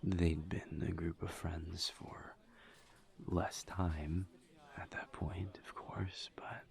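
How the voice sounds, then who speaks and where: A man playing a gentle, guarded incubus in an intimate audio roleplay, murmuring breathily and haltingly with careful pauses. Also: little pitch movement, in a whisper, full character voice. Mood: hesitant, thoughtful. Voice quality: breathy, creaky, low murmur. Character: gentle, guarded male incubus demon, earnest and reserved. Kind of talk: intimate roleplay audio drama, partly whispered.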